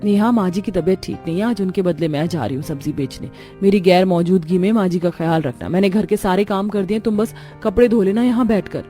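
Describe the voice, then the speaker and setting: Woman playing dutiful, mellow elder daughter-in-law. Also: medium pitch, a little fast, few pauses, faint music behind, lightly acted. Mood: dutiful, caring. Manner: polite, considerate.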